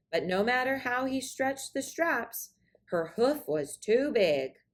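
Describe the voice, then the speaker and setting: A woman narrating a children's storybook aloud in an expressive read-aloud style. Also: mid-range voice. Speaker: storybook reader.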